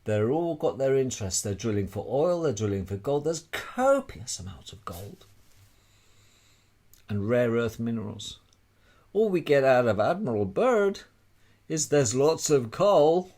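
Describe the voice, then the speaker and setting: A man's voice: mid-range voice, very animated, a little slow, darkish tone. A man giving an informal, emphatic explanatory monologue as an amateur presenter, repeating phrases and posing rhetorical questions.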